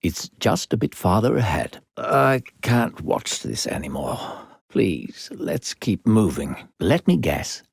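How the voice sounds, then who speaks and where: A male voice actor playing a smooth-talking, secretly sinister video game narrator, theatrical, coaxing and condescending toward a child. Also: low voice, very animated, takes it slowly, no room sound, clean studio sound, heavily acted. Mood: patronizing, persuasive.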